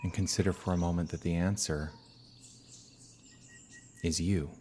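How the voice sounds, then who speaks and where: A man speaking as a minister leading a guided meditation, calm and unhurried, with long soothing pauses. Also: low-pitched. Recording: birdsong and outdoor ambient noise behind. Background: birdsong.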